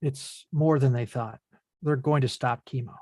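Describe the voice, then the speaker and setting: Man playing reflective grown son, late twenties, script table read, medium pitch, dry sound. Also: fairly even intonation, measured pace, darkish tone, no echo, lightly acted. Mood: subdued, worried. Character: reflective son in his late twenties, narrating father's life.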